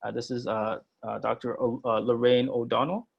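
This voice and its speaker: A man's voice: medium pitch, little pitch movement, normal speed, creaky, husky, highs cut off. A man presenting and moderating an online workshop, speaking hesitantly with many fillers and restarts.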